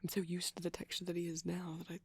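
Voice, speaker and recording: A man speaking softly and breathily in an ASMR boyfriend roleplay as a shy, gentle vampire, hesitant and often slipping into whispers. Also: high voice, completely flat delivery, fast talker, gravelly, slightly rough, dry recording. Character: shy, gentle pastel vampire boyfriend showing off plush toys.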